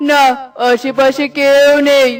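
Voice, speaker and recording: Man as a high, weary balloon-seller bird. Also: level tone, slow pace. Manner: calling out wares, plaintive. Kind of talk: children's cartoon dialogue.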